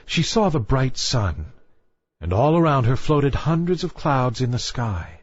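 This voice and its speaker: A man reading a fairy tale as a storyteller, in a measured literary style. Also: mid-range voice, animated, dry recording, dull audio. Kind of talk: scripted fairy tale narration.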